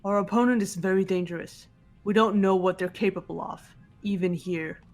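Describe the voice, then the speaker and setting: Protective young warrior type, voiced by a man, unusually high voice, serious and commanding, game dub. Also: fairly even intonation, normal speed, faint music behind. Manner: earnest, commanding. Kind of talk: amateur game voice acting.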